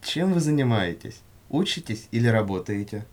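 A man reading scripted questions and answers for a language lesson in a measured way. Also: mid-range voice, sing-song. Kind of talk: scripted language-lesson dialogue, read aloud.

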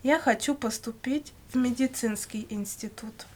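A woman reading scripted language-course questions and answers in a measured, carefully enunciated way. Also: a little high. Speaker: language lesson reader.

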